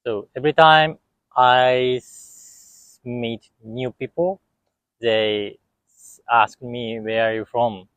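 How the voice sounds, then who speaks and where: A young man from Japan with a Japanese accent, a student answering interview questions haltingly, often pausing to search for words. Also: mid-range voice, steady tone, a bit bright, clean tone, no echo, lo-fi sound.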